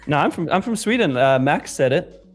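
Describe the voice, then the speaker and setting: A man's voice: a little high, very animated, normal speed. Joking man from Switzerland, music behind. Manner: joking, sweary. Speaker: livestream co-host. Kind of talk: casual livestream chat.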